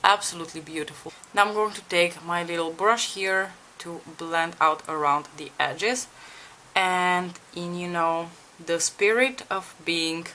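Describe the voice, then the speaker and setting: A woman's voice: fairly low pitch, bright, forceful tone, slight background noise, low-quality recording. A young woman from Bulgaria chatting candidly to viewers while doing her makeup, asking them for advice and swearing casually. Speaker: beauty vlogger. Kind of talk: casual makeup tutorial chat.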